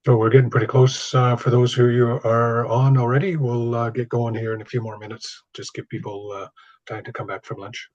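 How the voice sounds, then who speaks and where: Male webinar host, relaxed and filler-heavy, dull muffled call sound, dry. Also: medium pitch, fairly quick, no echo. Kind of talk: introducing a speaker.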